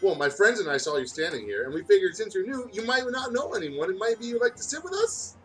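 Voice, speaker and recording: A man's voice: high voice, very animated, fast, far from the mic, pitched above the performer's own voice. A man voicing a friendly, gushing teenage hedgehog girl in a story read-aloud. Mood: eager, inviting. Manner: gushing, insistent, apologetic. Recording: music playing behind the voice.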